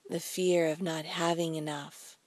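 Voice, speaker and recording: A woman leading a guided meditation in a soft, breathy, soothing voice, speaking deliberately in short phrases with pauses. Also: deep voice, little pitch movement, dry recording, rough recording. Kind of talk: slow guided meditation narration.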